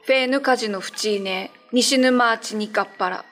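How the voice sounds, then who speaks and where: Woman acting a high-voiced, lovelorn cat-monster wife. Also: a little fast, a bit bright, faint music behind, lightly acted. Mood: eerie, incantatory. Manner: eerie low chanting and tearful, beseeching dialogue. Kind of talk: radio drama horror acting.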